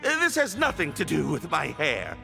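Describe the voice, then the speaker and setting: High-pitched, stammering, nervous prisoner. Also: male voice, wildly swooping pitch, moderate pace, vocal fry, sharp tone, soft background music, full character voice. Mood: flustered, evasive. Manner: stammering, hesitant, flustered. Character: nervous, shy, evasive male prisoner, meekly polite. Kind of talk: video game character voice acting.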